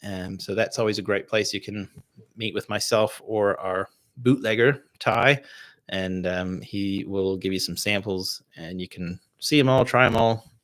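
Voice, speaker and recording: Relaxed Canadian man, slightly low voice. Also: unhurried. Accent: North American. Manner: relaxed and rambling, with many fillers and restarts. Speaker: distiller hosting a livestream tasting. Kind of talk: casual livestream chat, answering viewer questions.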